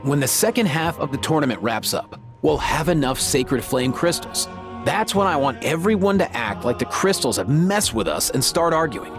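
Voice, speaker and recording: Young male game character, acted by a man: lightish pitch, earnest, casual, highly animated delivery. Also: normal speed, natural voice. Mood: earnest, persuasive. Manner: casual, expressive, explanatory. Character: earnest, kindly young male schemer rallying friends. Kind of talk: video game voice acting. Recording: light music behind.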